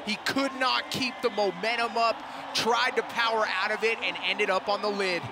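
A man working as a color commentator at a live motorsport event, breaking down replays and reacting excitedly. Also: high-pitched, normal speed. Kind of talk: arena sports commentary. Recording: commentary over loud arena music.

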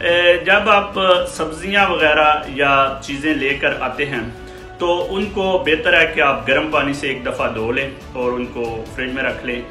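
A man in his forties giving measured advice to camera from a small room at home, pausing often with fillers. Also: mid-range voice, bright, forceful tone. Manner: measured, with frequent pauses and fillers. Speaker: commentator. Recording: roomy small room with faint music.

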